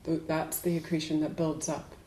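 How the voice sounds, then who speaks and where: A woman giving a dharma talk to a group as a Buddhist teacher, speaking in a measured, deliberate way with emphatic pauses. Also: deep voice, flat delivery, dark tone, a little hiss or hum. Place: indoors in a room. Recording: echoey room.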